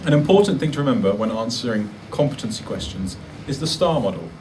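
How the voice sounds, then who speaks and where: A man's voice: medium pitch, lively intonation, quick pace, distant mic, music in the background. A man giving an instructional presentation, as a presenter of practical advice. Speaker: presenter giving interview tips.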